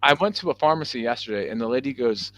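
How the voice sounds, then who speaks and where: A man's voice: medium pitch, expressive, fast talker, a bit bright, low-quality recording. A man on a fan podcast panel, joking casually and often at his own expense. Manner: joking, self-deprecating. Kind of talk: casual panel chat with banter.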